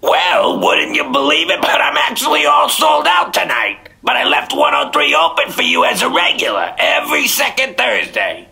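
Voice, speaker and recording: A man's voice: very high voice, wildly swooping pitch, normal speed, vocal fry, few pauses, sharp tone, raised voice, exaggerated voice. An actor playing a chatty, over-friendly, pushy male motel clerk in a radio drama, with an effusive, folksy manner. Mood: jovial, pushy.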